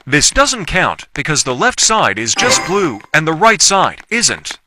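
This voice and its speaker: Male gameplay commentator, animated intonation, short repetitive statements, noisy recording. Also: fairly high pitch, unhurried. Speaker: gaming video creator.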